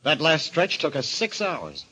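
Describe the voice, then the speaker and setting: An actor playing an arrogant, obsessed explorer in an old-time radio mystery, speaking in a grandiose, theatrical way. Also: male voice, fairly high pitch, huge pitch swings, unhurried, bright, forceful tone, slightly rough, heavily acted, low-quality recording. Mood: enraptured. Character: obsessed, arrogant male explorer enthralled by a cult of destruction.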